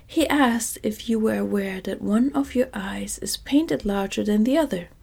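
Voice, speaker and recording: A woman's voice: medium pitch, normal speed, vocal fry, breathy edge. A woman whispering a cheeky young maid. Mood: mischievous. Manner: soft whispered reading.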